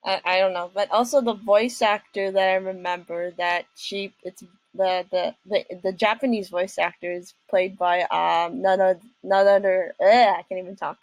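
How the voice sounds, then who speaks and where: A woman's voice: mid-range voice, steady tone, moderate pace, dry recording, poor audio. A young woman hosting a casual reaction video, talking in a hesitant, rambling way.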